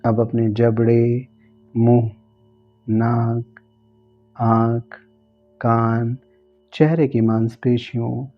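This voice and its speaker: A man leading a guided relaxation as a yoga nidra guide, giving gentle instructions in short, spaced phrases. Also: mid-range voice, little pitch movement, very slow, pauses often, lo-fi sound, soft background music.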